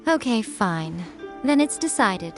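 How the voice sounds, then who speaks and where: Sly female shrine priestess, medium pitch, coy, teasing, aloof, unhurried, video game, music underneath. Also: very animated. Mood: dismissive. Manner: unhurried, coy, airily dismissive.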